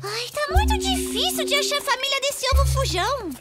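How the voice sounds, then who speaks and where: A woman voicing an excitable, curious little girl in a children's cartoon. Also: extremely high pitch, a little fast. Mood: eager, curious. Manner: eager, exclamatory. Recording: music under the voice.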